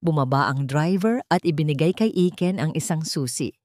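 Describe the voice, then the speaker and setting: A woman's voice: sits low, normal speed, darkish tone, no echo, clean studio sound. A woman storyteller giving a scripted, dramatic narration of a romance story.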